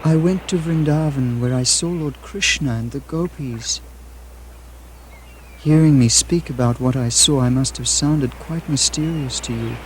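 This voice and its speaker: An actor playing an ecstatic saintly lord in a puppet show, speaking in a dreamy, wistful, measured way over music. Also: male voice, medium pitch, a little hiss or hum, lightly acted, poor audio. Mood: wondering. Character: ecstatic saintly lord waking from a mystical vision.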